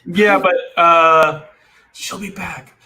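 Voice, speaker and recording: A man from Texas hosting a podcast, chatting casually over a video call, animated and joking, with frequent restarts. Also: high-pitched, takes it slowly. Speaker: podcast host. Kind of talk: casual chat.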